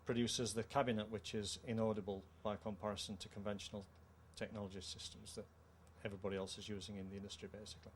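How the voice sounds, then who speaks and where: A middle-aged man with a British accent gives a measured, formal technical explanation of a product. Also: fairly low pitch, little pitch movement. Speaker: company co-founder presenting a product. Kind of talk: technical product presentation in an interview. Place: indoor trade show room. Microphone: handheld microphone. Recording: clear background noise, show-room ambience.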